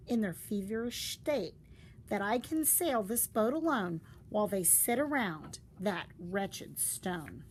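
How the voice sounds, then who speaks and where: Expressive woman reading a story aloud, animated pitch. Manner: deliberate, dramatic storytelling delivery. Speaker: storybook reader. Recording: faint background noise.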